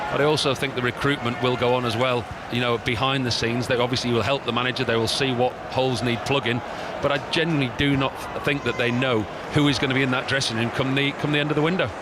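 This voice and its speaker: A man's voice: mid-range voice, background noise. A man giving casual analytical co-commentary on a live football match, explaining tactics in a chatty way. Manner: conversational, explanatory, repeats phrases.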